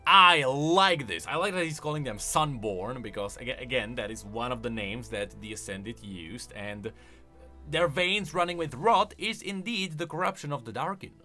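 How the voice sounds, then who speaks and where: A man's voice: medium pitch, expressive, normal speed, sharp tone, soft background music. A man hosting a video, giving casual, enthusiastic commentary on game lore and explaining as he goes. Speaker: video host.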